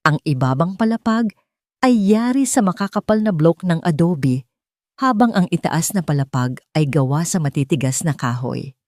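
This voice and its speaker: A woman's voice: lowish voice, lively intonation, moderate pace, darkish tone, dry recording, studio quality. A woman with a Filipino accent reading a scripted dramatic story as its narrator.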